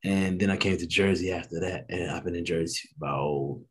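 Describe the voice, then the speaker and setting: Laid-back man in his thirties, low voice. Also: a little fast. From East Saint Louis, Illinois. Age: 30s. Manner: laid-back, easygoing storytelling with slang. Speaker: podcast guest. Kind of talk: casual podcast chat.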